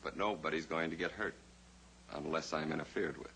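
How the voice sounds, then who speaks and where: Low, menacing radio villain. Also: male voice, fairly even intonation, creaky, a bit bright, full character voice, low-quality recording. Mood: threatening, in control. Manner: mock-polite, deliberate, menacing. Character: suave, menacing male villain, a scheming blackmailer. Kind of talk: old-time radio drama acting. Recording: old radio recording with faint hiss.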